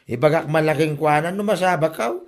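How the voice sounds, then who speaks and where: A man's voice: a little high, fairly quick, lightly acted. A man with an Ilocano accent playing an exasperated husband who scolds and complains at his spendthrift wife in a comedy drama. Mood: grumbling. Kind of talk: comedy radio drama acting. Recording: music behind the voice.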